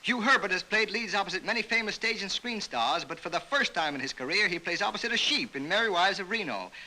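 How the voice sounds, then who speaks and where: A man's voice: high voice, animated, quick pace, sharp tone, low-quality recording. An American man narrating a 1930s newsreel from a script in a jaunty, wisecracking manner, on a vintage soundtrack.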